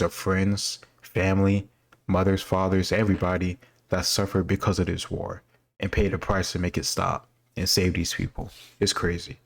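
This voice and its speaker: A man's voice: deep voice, gravelly. A man speaking informally and off the cuff, rambling and thinking aloud as he comments on quiz questions. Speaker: host reacting to history quiz questions.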